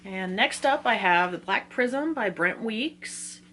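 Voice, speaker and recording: A woman's voice: medium pitch, animated, takes it slowly, a bit bright, a little hiss or hum. A woman talking casually in an informal, self-deprecating way, like a book vlogger running through a reading list.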